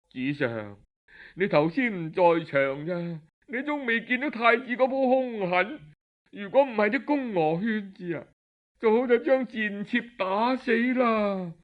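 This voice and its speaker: A man's voice: high voice, pitched above the performer's own voice. A man voicing a tearful, scheming young royal concubine who pleads in an aggrieved way with a Cantonese accent, in a told historical story. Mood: aggrieved complaint. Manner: plaintive, pleading. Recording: dull lo-fi audio.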